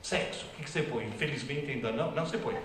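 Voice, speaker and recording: A man's voice: mid-range voice, racing, heavy background noise. Man lecturing in an echoey hall. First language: Italian. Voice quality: wry, teasing, emphatic. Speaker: lecturing professor. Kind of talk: humorous public lecture. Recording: distant, very echoey hall recording.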